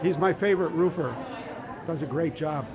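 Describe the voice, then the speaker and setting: A man hosting a live-streamed show, a little hoarse, chatting casually in a rambling, joking way with halting restarts. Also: on the high side, crowd noise. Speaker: show host. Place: large indoor hall with a crowd.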